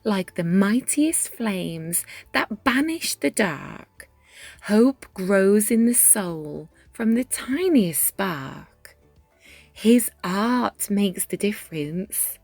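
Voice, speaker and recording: A woman reading a rhyming children's story aloud in an expressive, measured way, with dramatic pauses and hushed moments. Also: mid-range voice, no room sound. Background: background music.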